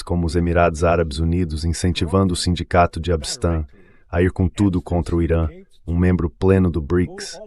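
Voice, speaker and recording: Low-voiced Brazilian man, political commentary. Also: darkish tone. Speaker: political analyst guest, dubbed voice. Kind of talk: dubbed interview commentary.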